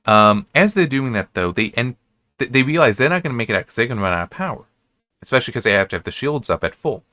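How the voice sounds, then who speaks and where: Bright-voiced American man, rambling casually. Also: medium pitch, big pitch swings, no room sound. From United States. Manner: halting, self-correcting, restarts and digresses often. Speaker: reviewer hosting an episode-by-episode analysis. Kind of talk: rambling, casual, unscripted commentary.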